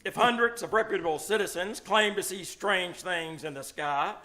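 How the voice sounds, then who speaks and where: Man as a high, bright, wry doctor. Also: moderate pace. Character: wry, teasing veteran small-town doctor. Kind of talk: old-time radio comedy acting before an audience. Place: live stage performance at a venue.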